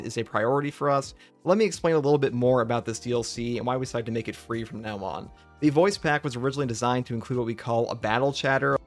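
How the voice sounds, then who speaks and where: A man hosting a gaming channel, casually commenting and reading out update notes. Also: mid-range voice.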